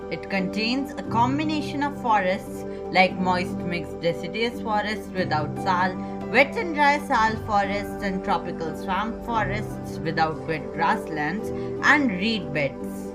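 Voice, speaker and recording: A woman's voice: medium pitch, lively intonation, measured pace, natural voice. A woman with an Indian accent presenting a puppet show as a guide, reading scripted educational narration. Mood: earnest, informative. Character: puppet guide named Alak presenting Bihar. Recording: music behind the voice.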